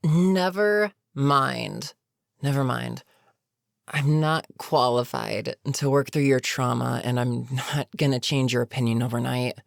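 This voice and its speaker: A voice actor playing an older, experienced villain in an audio roleplay, weary and dryly sarcastic but reluctantly protective, with a wry, deliberate delivery. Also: mid-range voice, gravelly, dry recording, clean studio sound. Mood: weary, firm. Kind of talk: intimate audio roleplay monologue.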